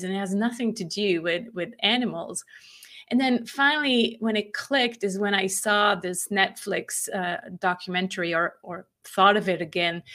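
A woman's voice: medium pitch, a bit bright. Woman, Swiss German native speaker, podcast guest, casual and reflective. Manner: reflective, restarts sentences often. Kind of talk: casual conversation.

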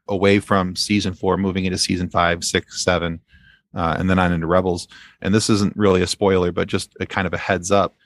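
A man's voice: deep voice, no room sound, clean studio sound. A man in a casual fan discussion as a podcast guest, rambling and circling back to repeat his phrases.

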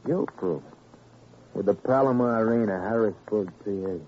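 An American actor playing a surly, cocky prizefighter in a radio crime drama, laconic, defiant and quietly menacing. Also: male voice, lowish voice, normal speed, breathy edge. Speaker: supporting actor. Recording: muffled, faintly noisy old radio recording.

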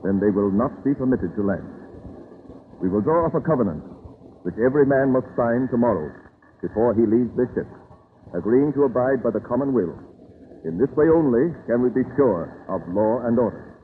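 An actor playing a grave, principled Pilgrim leader with a measured, formal manner in an old-time radio drama. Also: male voice, mid-range voice, lively intonation, phone-quality sound, close to an everyday voice. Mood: resolute. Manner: formal, measured, archaic phrasing. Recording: thin vintage radio recording, faint noise.

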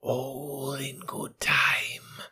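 A man voicing a cold, vengeful female cobra in a slow, hissing whisper. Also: medium pitch, completely flat delivery, sharp tone, slightly rough, pitched above the performer's own voice, full character voice. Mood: threatening. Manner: slow, drawn-out threats.

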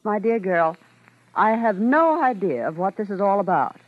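A woman's voice: mid-range voice, expressive, moderate pace, lightly acted. An actress playing a haughty, condescending woman with formal manners in a 1940s radio drama. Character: haughty, indignant woman, coolly condescending and composed. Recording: muffled old radio recording.

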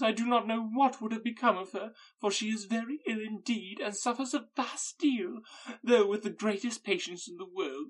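A man voicing a fussy, excitable middle-aged mother, shrill and gushing, in an audiobook. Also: exaggerated voice.

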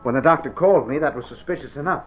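An actor playing a nervous, cowardly young conspirator in a vintage radio drama, anxious and self-justifying. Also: male voice, mid-range voice, racing, soft background music, natural voice. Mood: agitated, defensive. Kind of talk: 1940s radio drama acting. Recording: dull vintage radio recording.

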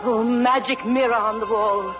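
A woman's voice: a little high, fairly even intonation, normal speed, close to an everyday voice. A woman playing a haughty, vain wicked fairy-tale queen, speaking imperiously and theatrically in an old broadcast. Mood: haughty, expectant. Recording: muffled vintage broadcast, loud orchestral music.

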